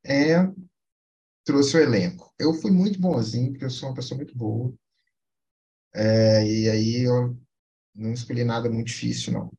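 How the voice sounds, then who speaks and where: A man hosting a quiz on a casual podcast, playful and teasing, reading out film credits in halting bits. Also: mid-range voice, warm tone, lo-fi sound.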